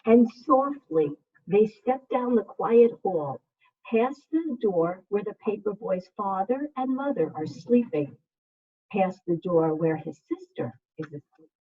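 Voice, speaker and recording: Female primary teacher, slightly high and breathy-rough, measured children's read-aloud delivery, narrow video-call sound. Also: lively intonation. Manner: measured, simple phrasing for young listeners. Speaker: second grade teacher. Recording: muffled, phone-like video call audio.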